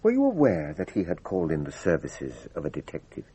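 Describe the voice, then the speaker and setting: A formal, considerate male magistrate in a radio drama. His voice is deep and heavily rasping, with lively swings of pitch as he questions politely and methodically. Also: fairly quick, dry recording, full character voice. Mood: probing.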